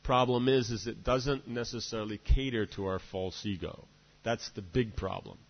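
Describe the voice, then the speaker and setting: A lower-pitched man speaks in a discursive lecturing way, often breaking off to ask his listeners "right?". His voice carries a long reverb from a large hall. The recording sounds muffled and lo-fi. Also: fairly even intonation, moderate pace, creaky, slightly rough. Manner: discursive, many "you know" and "right?" asides. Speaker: spiritual teacher. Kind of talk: devotional lecture.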